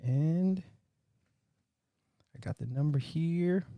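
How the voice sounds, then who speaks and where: A man's voice: fairly high pitch, takes it slowly, no echo, lo-fi sound. A man hosting a motivational livestream, chatting informally and in a brotherly way as he addresses his viewers. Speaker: livestream host. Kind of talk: casual motivational livestream chat.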